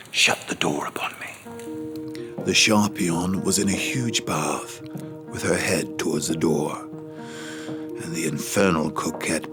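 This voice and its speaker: A man narrating as a worldly gentleman memoirist, in scripted dramatic style with formal, measured literary phrasing. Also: low voice. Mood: reflective, confiding. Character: worldly gentleman memoirist recalling his seductions. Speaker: narrator reading a memoir in first person.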